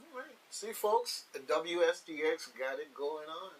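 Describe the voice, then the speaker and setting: A man's voice: on the high side, lively intonation, a little slow, fairly bright tone. A man hosting an informal radio discussion in a folksy, playful way. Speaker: radio show host. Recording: slightly distant with faint hiss.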